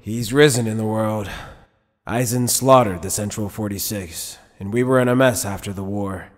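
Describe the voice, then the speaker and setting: A man playing an easygoing but shrewd middle-aged head captain in an anime fan dub, speaking in a measured, wry, casual way. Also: on the low side, close to an everyday voice. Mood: grave, measured. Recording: long hall reverb.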